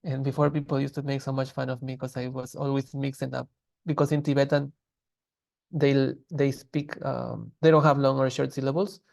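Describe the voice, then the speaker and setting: Gravelly-voiced man teaching a language class. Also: mid-range voice, normal speed. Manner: patient, relaxed, with many fillers and restarts. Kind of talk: explaining grammar in a class.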